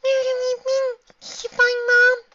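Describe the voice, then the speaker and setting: Super high little baby pony, innocent and curious, childlike, slightly dull mic. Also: female voice, completely flat delivery, a little slow, very noisy. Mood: hopeful, pleading. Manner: childlike, simple questions. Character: tiny innocent little filly, curious and pleading.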